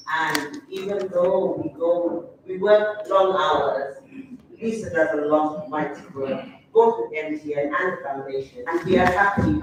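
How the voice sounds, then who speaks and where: A man with a Zambian accent speaking informally at a celebratory event as a foundation official. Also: fairly high pitch. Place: event venue. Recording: very echoey, distant venue with clear noise.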